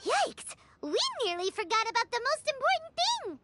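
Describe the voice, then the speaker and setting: Very high, squeaky little fairy girl. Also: big pitch swings, moderate pace, heavily acted. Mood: flustered. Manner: exclaiming, indignant, chirpy. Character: tiny excitable childlike fairy companion girl. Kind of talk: video game voice acting. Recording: loud game music behind.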